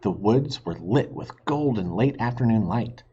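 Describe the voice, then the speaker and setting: Slow, husky man reading a children's story. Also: fairly low pitch, animated. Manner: gentle, talks to young listeners. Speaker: reader for children. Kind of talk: reading a children's book aloud. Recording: dull lo-fi recording.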